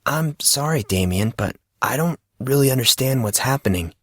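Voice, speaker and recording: A man plays an uncertain teenage boy in an audiobook, guarded but earnest. He speaks hesitantly and tends to question, pitched a little up from a low adult register in a dry, close sound. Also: animated, normal speed, breathy edge, no echo. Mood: awkward, uncertain. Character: confused, uncertain fourteen-year-old boy, earnest and guarded. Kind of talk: acted audiobook dialogue.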